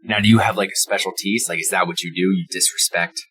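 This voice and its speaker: A man's voice: low-pitched, fairly even intonation, quick pace, crowd noise. A man hosting a casual interview from his rideshare car, curious and easygoing in his questions. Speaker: host and rideshare driver. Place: inside a car. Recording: music bed under the voice.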